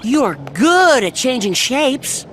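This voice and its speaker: Clever trickster cat boy for a kids cartoon, slow and wheedling, bouncy pitch. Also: sing-song, slight background noise, full character voice. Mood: sly flattery. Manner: coaxing, feigned innocence, wry. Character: clever sly male cat, cool trickster. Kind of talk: children's animated fairy-tale acting.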